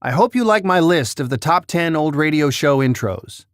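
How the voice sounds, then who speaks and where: A man with a slightly high voice hosts a countdown. He reads scripted narration in a very dry recording. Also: animated, moderate pace, no room sound. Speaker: countdown host.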